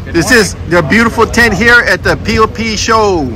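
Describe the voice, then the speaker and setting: A man's voice: high-pitched, moderate pace. A man vlogging as he walks around an outdoor harbor fishing expo, chatting casually and thanking exhibitors. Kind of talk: casual walkaround narration. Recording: crowded outdoor event. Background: crowd chatter.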